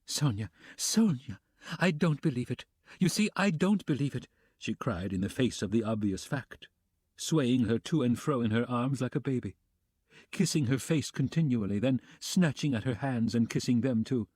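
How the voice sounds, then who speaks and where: A man voicing a desperate, consumptive widow with a hoarse, wailing voice. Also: medium pitch, moderate pace, mellow, no room sound, clean studio sound, natural voice. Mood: anguished.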